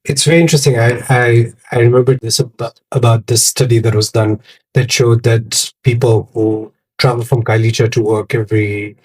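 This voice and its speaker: A man's voice: mid-range voice, steady tone, normal speed, darkish tone, husky, poor audio. A man from Tanzania hosting an interview, curious and conversational, drawing on his own experience. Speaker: host.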